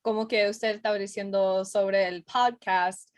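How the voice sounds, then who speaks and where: A woman's voice: medium pitch, steady tone, unhurried, dry recording, clean studio sound. A young woman student interviewing her teacher, speaking with a learner's slips and restarts. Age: young adult. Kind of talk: class interview.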